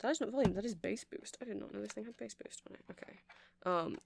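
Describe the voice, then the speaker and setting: A woman's voice: mid-range voice, fast talker, creaky, noisy background. A woman giving a rambling, chatty ASMR demonstration, explaining things step by step. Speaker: ASMR creator.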